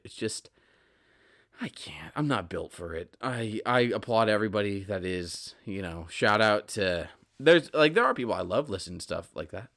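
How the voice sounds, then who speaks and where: A young man with a fairly low voice talks casually as a solo podcast host. He rambles and interrupts himself, repeating words as he goes. His delivery is animated, moving between emphatic bursts and quieter asides. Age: 20s.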